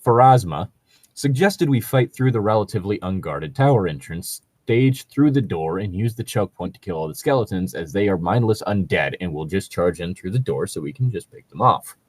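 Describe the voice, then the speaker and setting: Low-voiced man, casual and rambling. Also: lively intonation, normal speed, a little mellow, slightly rough, no echo. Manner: rambling, hedging, casually profane. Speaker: co-host reacting to read-out stories. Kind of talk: casual chat and commentary on a read story.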